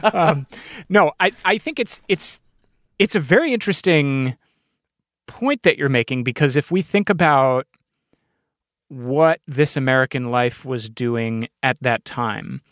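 A male interviewer with a medium-pitched voice speaks in a casual, conversational way. He affirms what he hears with short agreeing interjections. The recording is dry and free of room echo. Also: unhurried, a bit bright. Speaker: podcast host, interviewer.

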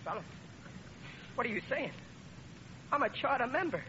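An actor playing a hapless, blustering American working-class father in a 1940s radio comedy, with rough colloquial speech and a pleading manner. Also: very high-pitched, expressive, normal speed. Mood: indignant, pleading. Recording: muffled, very noisy old radio broadcast.

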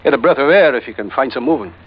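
A man's voice: medium pitch, lively intonation, racing, close to an everyday voice. Man acting a dry, cynical doctor, vintage radio. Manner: dry, sardonic, articulate. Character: cynical, dishonest castaway doctor, coolly philosophical. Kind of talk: old radio drama acting. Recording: muffled, noisy vintage radio recording.

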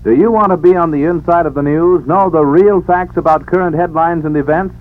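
A man's voice: fairly high pitch, brisk. A man reading a promotional announcement in the style of a 1940s radio announcer. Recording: tinny old radio broadcast.

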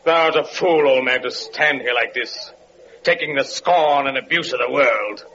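An actor playing a bitter, lame, evil-faced wanderer with mocking, archaic declamation in an old-time radio drama. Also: male voice, mid-range voice, moderate pace, sharp tone. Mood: mocking scorn. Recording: muffled, noisy vintage radio recording.

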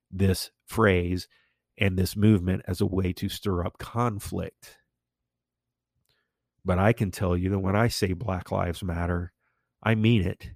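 Gravelly, stuffy-nosed male podcast preacher. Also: low-pitched, darkish tone, dry recording, clean studio sound. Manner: slow, emphatic, declarative preaching. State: congested and a little nasal from allergies. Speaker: podcast host.

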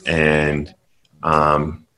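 A man with an extremely deep voice that still cuts through bright and forceful. He speaks earnestly in a flat, level line, pausing often on ums as a podcast guest. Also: smooth. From South Carolina, US. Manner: earnest, measured storytelling with frequent ums. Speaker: guest political commentator. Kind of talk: podcast interview.

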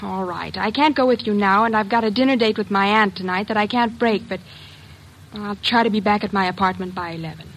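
A woman's voice: mid-range voice, fairly quick, background noise, natural voice. An actress playing an indignant young female secretary who gets evasive under questioning, in 1940s radio style.

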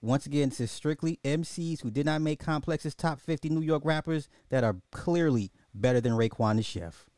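A man's voice: on the high side, moderate pace, few pauses, darkish tone, dry recording, clean studio sound. A man hosting a casual, opinionated commentary podcast in slangy, informal speech, thinking aloud and often repeating his own phrases. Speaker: podcast host.

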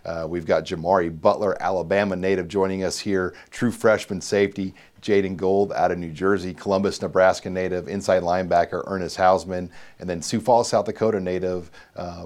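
A man's voice: deep voice, a little mellow. A man hosting a sit-down sports interview, asking friendly, rambling questions. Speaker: sports reporter and host.

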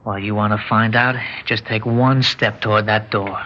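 An actor playing a threatening young armed robber in a classic radio drama, talking in tough, slangy, ungrammatical street talk. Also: male voice, on the low side, fairly even intonation, normal speed, background noise, natural voice. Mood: menacing. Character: jittery young male armed robber holding hostages, threatening. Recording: muffled old radio broadcast.

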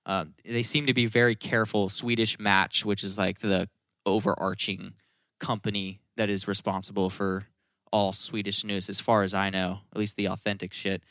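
A man's voice: fairly low pitch, flat delivery, moderate pace, a bit bright, no room sound, studio quality. A man in casual podcast chat, explaining things in a rambling, informal way with some swearing.

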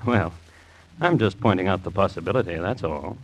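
An actor playing an urbane, dryly macabre crime historian who hosts a 1950s radio series in a formal, wry manner. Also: male voice, low voice, lively intonation, normal speed. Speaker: host and narrator of crime series. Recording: faintly noisy vintage radio recording.

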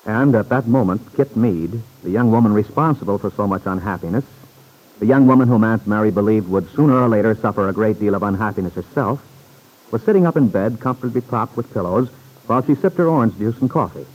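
A man's voice: on the low side, lively intonation, a little fast, a little mellow, rough recording. A man narrating an old radio serial in a dramatic, scripted style.

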